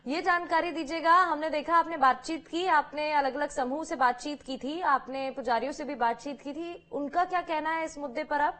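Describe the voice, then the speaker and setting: A woman with an Indian accent and a high-pitched voice. She anchors a live news broadcast, addressing reporters and putting questions to them. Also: fairly bright tone.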